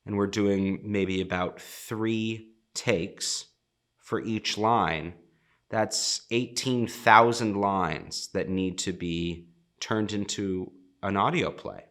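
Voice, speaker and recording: A man's voice: lowish voice, fairly even intonation, takes it slowly, a bit bright. A man on a theatre production team, explaining the work in interview style. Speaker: theatre production staff member.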